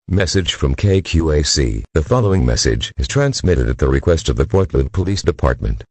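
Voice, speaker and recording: Rock bottom deep guy doing an emergency alert, formal and impersonal, bit rough, no room sound. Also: a little mellow, no room sound. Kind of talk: scripted emergency broadcast announcement.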